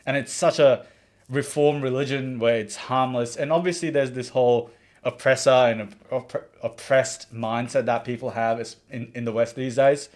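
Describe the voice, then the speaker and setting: A man's voice: mid-range voice, fairly even intonation, normal speed, a little mellow. A man from the Maldives hosting a livestream, giving earnest opinionated commentary and reading out viewer comments, with frequent restarts. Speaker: livestream host.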